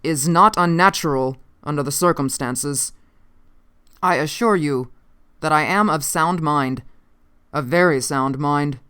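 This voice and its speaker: A narrator voicing an uncanny, gaunt male scientist from another time, speaking formally with slow, commanding emphasis in an audiobook. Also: medium pitch, pushed and bright, clean tone. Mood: insistent, formal.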